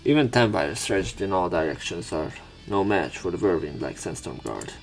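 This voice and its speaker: A low-voiced man with a creaky, gravelly edge reads a visual novel aloud as a let's play host. His delivery moves between dramatic narration and casual commentary, with faint game music underneath. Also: sing-song, moderate pace. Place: voice-over on game footage.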